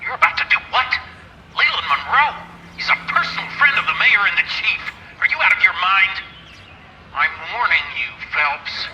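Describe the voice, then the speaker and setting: A man's voice: sits high, animated, normal speed. A man playing an irate, bullying 1940s police commander in a video game, barking threats through a telephone filter. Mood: angry, threatening. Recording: thin band-limited phone line, faint noise.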